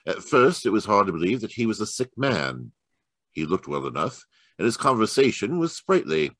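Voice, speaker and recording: A man playing a keen, musing gentleman detective in a radio mystery, deliberate and wry, performed over a video call. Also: lowish voice, very animated, no room sound, studio quality. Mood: musing, amused. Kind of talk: 1940s radio mystery acting.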